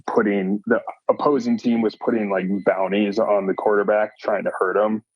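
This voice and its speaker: Very deep-voiced, halting man from Minnesota. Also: level tone, no room sound, highs cut off. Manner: halting, fills with "like" and "um". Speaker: listener guest. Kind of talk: casual podcast storytelling.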